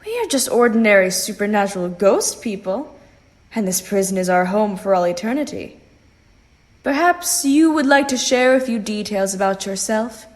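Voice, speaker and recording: A woman's voice: mid-range voice, animated, normal speed, natural voice. A woman playing a stern, sardonic elderly ghost grandmother in an audio drama, speaking in a dry, curt, scolding manner. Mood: coolly sardonic.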